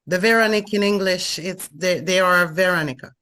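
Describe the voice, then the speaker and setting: A woman's voice: fairly low pitch, normal speed, fairly bright tone, a little hiss or hum. A woman with a French Canadian accent, a native French speaker, introducing herself on a video call while searching for words in English. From Montreal, Canada. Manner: hesitant, searching for English words, many fillers. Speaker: remodeling franchise owner, meeting participant. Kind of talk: casual self-introduction on a group call.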